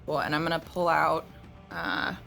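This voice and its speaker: A woman's voice: sits low, animated, moderate pace, gravelly. A woman playing a tabletop role-playing game, talking casually through her moves. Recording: background music under the voice.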